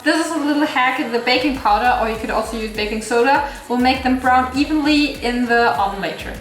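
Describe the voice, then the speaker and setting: A woman's voice: sits high, fairly bright tone, roomy sound. A woman from Germany with a German accent, walking through a recipe in a chatty way and laughing at her own slips. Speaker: cooking channel host. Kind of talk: recipe walkthrough vlog.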